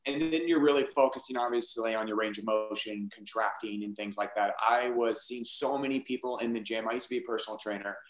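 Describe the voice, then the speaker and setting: A man, a fitness studio founder, answering interview questions in a fast, energetic, salesy way. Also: echoey room, poor audio. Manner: fast-talking, energetic pitch.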